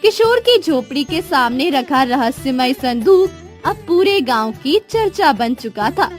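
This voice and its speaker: A woman's voice: high voice, big pitch swings, measured pace. A woman narrating a scripted children's moral story. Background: music.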